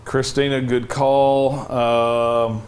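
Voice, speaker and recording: A man, a TV meteorologist, giving live severe weather coverage with urgent, direct safety instructions, slightly hoarse from losing his voice. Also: medium pitch, flat delivery, extremely slow. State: losing his voice, cough drop in mouth.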